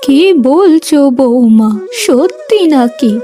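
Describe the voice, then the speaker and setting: A woman's voice: high-pitched, very animated, takes it slowly, warm tone, heavily acted. A woman playing a proud, status-minded mother of a landlord family in a melodramatic cartoon, emphatic in her delivery. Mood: eager, curious. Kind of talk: melodramatic cartoon story dubbing. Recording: music under the voice.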